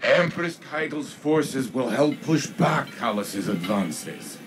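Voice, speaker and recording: A man playing a calm, caring warrior mentor in a video game, measured and solemn. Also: mid-range voice, background noise. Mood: grave concern.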